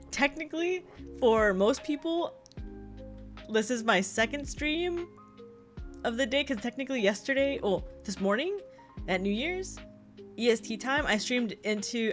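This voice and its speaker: A woman's voice: on the high side, lively intonation, normal speed, soft background music. A young woman streaming as a VTuber, chatting casually with viewers; she sounds congested and a little tired, rambling and giggling often. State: tired, low energy. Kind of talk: casual livestream chat.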